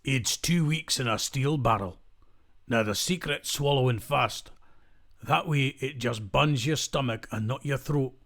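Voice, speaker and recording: A man's voice: mid-range voice, measured pace, fairly bright tone. A man playing a blunt, wry survivor and loyal friend in an amateur audio drama. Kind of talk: fan audio drama acting.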